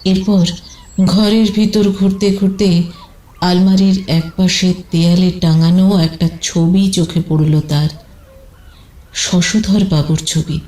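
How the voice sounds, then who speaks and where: A woman's voice: mid-range voice, mellow, roomy sound, rough recording. A woman narrating a scripted detective audio story in a dramatic storytelling style.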